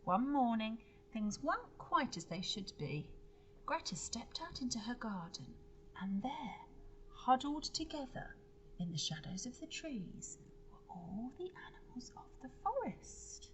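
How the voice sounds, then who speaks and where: A woman's voice: a little high, big pitch swings, measured pace, a bit bright, slight background noise, rough recording. A woman reading a storybook aloud to children like a teacher, expressively, with explanatory asides and questions to her listeners.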